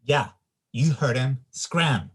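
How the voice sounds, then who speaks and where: A man performs a jealous, possessive boyfriend in a comedy script read. The voice is husky and very animated in pitch, with a defensive, theatrical delivery. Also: slow pace, heavily acted. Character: jealous, insecure, possessive boyfriend with dramatic flair. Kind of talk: screenplay table read.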